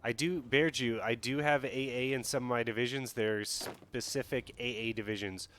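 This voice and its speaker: A man's voice: medium pitch, fairly even intonation, moderate pace, fairly bright tone, slight background noise. A man streaming a strategy game live, talking casually and thinking aloud as he plays. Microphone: headset.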